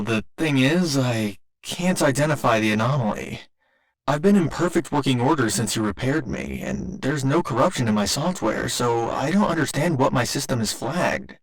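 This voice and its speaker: A man's voice: medium pitch, lightly acted, poor audio. A man voicing a polite, earnest robot boyfriend in an ASMR roleplay, speaking in formal, precise and naively literal language. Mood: puzzled, analytical. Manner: formal, precise, careful wording with occasional glitchy stutters.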